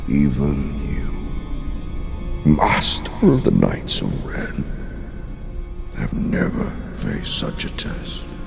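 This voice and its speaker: An actor playing an ancient, imperious dark overlord in a science fiction film, ominous and gravelly. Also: male voice, huge pitch swings, drawn-out words, pauses often, exaggerated voice. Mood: cold challenge. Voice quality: extremely deep, gravelly, breathy rumble. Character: sinister, commanding dark overlord, huge and ancient. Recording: cavernous echoing hall, scary music behind.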